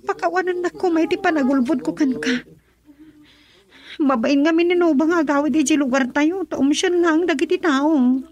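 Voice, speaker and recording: A woman's voice: high-pitched, normal speed, darkish tone, lo-fi sound, lightly acted. An actress playing a distressed young woman in a radio drama, confessing and pleading urgently. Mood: guilty, pleading.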